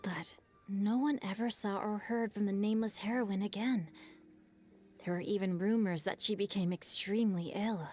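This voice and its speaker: Game casting: woman voicing a polite, thoughtful young scholar, measured storyteller. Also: medium pitch, fairly even intonation, a little mellow, slight background noise, lightly acted. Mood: musing. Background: faint game music.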